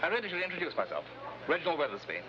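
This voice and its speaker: An actor playing a chatty, whimsical gentleman novelist with breezy upper-class phrasing in a 1950s television adventure. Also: fairly high pitch, very animated, very fast. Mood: breezy, chummy. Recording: muffled old TV soundtrack with noise.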